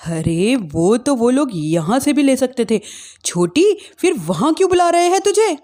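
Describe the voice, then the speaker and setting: A woman's voice: high voice, big pitch swings, a little slow, mellow. A woman playing a protective, exclamatory middle-aged mother in a theatrical family story. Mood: indignant. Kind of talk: Hindi family story dramatization.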